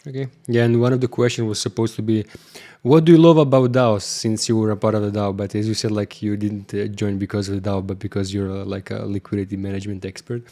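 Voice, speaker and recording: A man's voice: lowish voice, level tone, fast talker, mellow. A man hosting a podcast, asking his guest questions in a loose, informal way with plenty of fillers. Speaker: podcast host. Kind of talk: casual podcast interview.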